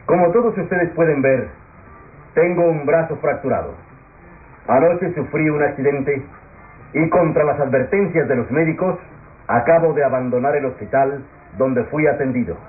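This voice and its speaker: An actor playing an earnest, courteous defense lawyer, declaiming formally in classic radio soap style. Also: male voice, a little high, moderate pace, natural voice. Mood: resolute, apologetic. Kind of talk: classic Latin American radio soap acting. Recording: tinny old radio recording.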